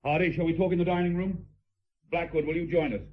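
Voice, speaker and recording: In slightly muffled radio drama audio, an actor plays a gallant naval lord. His voice sits a little high for a man, and he commands with suave, formal courtesy. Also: steady tone, quick pace, lightly acted. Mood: gracious.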